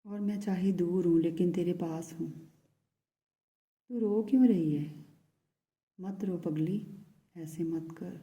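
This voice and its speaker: A lovesick, pleading man, as a woman speaks his message in a very mellow, dark tone that stays flat and even. The delivery is soft and hushed and often sinks to a whisper. The pitch sits low for a woman. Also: measured pace. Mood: tender, pleading. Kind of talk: channelled love message in first person.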